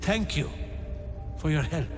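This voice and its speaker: Befuddled dwarf forager for a game: slow, stammering fragments, wildly varied pitch, reverberant space with music behind. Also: male voice, expressive. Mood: muddled, grateful. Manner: halting broken phrases, stammering, trailing off. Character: addled, muddled male dwarf mushroom forager, excitable and befuddled. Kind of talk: video game voice acting.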